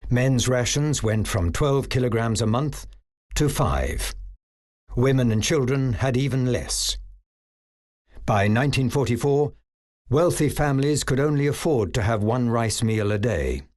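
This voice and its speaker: Measured male documentary narrator. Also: mid-range voice. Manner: measured, even delivery. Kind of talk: scripted narration.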